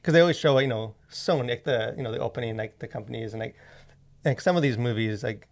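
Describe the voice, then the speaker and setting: A man's voice: medium pitch, fast talker. A man co-hosting a casual podcast discussion, talking in a rambling way as he thinks aloud. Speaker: podcast co-host.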